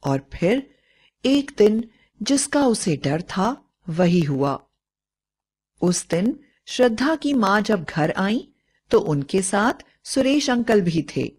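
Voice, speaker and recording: Woman reading a bedtime story, takes it slow, expressive storyteller delivery. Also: mid-range voice, husky. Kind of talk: scripted Hindi moral-story narration.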